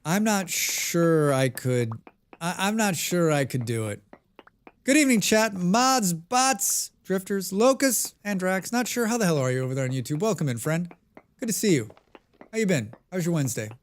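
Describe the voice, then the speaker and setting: A man's voice: high voice, animated, moderate pace. An American man chatting casually with his viewers while playing a game on a live stream, reading and answering their comments. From United States.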